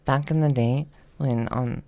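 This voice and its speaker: A man making a deadpan, mock-earnest comedy confession as a gaming video creator, in a halting delivery. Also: mid-range voice.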